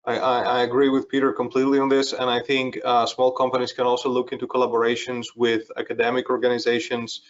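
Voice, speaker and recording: A man's voice: mid-range voice, monotone, quick pace, hardly any pauses. A man from Greece speaking on a virtual panel, often repeating words as he gets going. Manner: repeats words when starting, hesitant. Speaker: research center panelist. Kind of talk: panel discussion. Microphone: video call. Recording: muffled video call audio.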